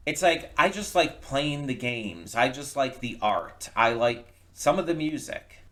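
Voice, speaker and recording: A man giving casual, unscripted reaction commentary, thinking aloud in a reflective, halting way and often repeating words. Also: mid-range voice, a bit bright. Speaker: reaction video host. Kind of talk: casual unscripted commentary, post-viewing thoughts.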